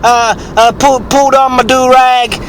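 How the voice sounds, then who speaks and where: A man voicing an eccentric, foul-mouthed rustic bigfoot hunter, husky with a sore throat, telling a halting, rambling, repetitive mock-documentary tale. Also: very high-pitched, little pitch movement, slight background noise. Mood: suspenseful, bewildered.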